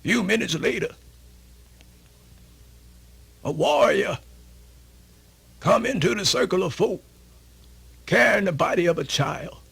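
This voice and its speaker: High-pitched, slow-spoken male folk storyteller. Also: animated, vocal fry, a bit bright, husky. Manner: folksy, exclamatory, drawn-out oral storytelling. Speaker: storyteller narrator. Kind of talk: dramatic folktale storytelling, radio theatre. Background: music.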